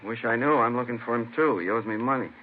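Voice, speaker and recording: A defensive, aggrieved cab driver played by a man in a vintage police radio show. He talks rapidly. The recording is thin and tinny with faint hiss. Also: medium pitch, lightly acted. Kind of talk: 1950s radio drama acting.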